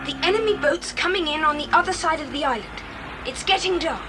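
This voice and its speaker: A woman playing a bold, decisive boy who leads other children in an audiobook drama. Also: natural voice. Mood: urgent, commanding. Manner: brisk, commanding, earnest. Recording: hissy, rumbling 1980s cassette transfer.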